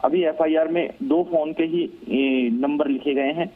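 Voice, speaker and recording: Male Indian correspondent, slightly high-pitched, measured and halting analysis, heard through a thin telephone connection. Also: steady tone. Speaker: news correspondent. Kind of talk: live phone report, analytical commentary. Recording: narrow, tinny phone line.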